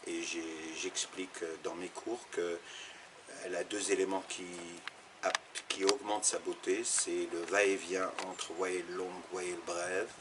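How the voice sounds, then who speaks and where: A deep-voiced man from Algeria, a teacher who explains things step by step, pausing and restarting as he searches for words. His low voice comes through over steady noise in the background. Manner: thoughtful, explanatory, with fillers and restarts. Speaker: Arabic teacher interviewed. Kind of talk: interview answers.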